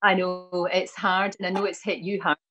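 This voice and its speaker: A Scottish woman hosting a morning TV interview, effusive and quick to repeat her words. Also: lowish voice, little pitch movement, fairly bright tone. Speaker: morning TV host. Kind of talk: TV interview chat.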